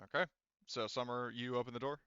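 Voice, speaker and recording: Bright, clear-voiced man running a game. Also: mid-range voice, steady tone, a little fast, no echo, lo-fi sound. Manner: informal, joking. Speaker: game master. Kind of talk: casual narration of a tabletop roleplaying game.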